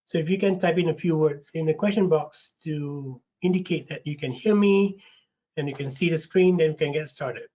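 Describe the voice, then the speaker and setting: A man with a slight rasp from a cold hosts an online info session, friendly, with lots of ums and restarts. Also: on the high side, normal speed, dark tone. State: getting over a cold, a bit raspy. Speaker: webinar host, recruitment manager. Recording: dull lo-fi webinar audio.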